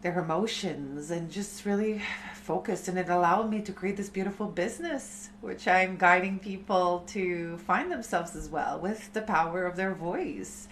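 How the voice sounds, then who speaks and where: A woman in her thirties, a chanting teacher, talking softly and unhurriedly to her live-stream community. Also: on the low side, a little hiss or hum. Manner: slow, soft, unhurried spiritual talk.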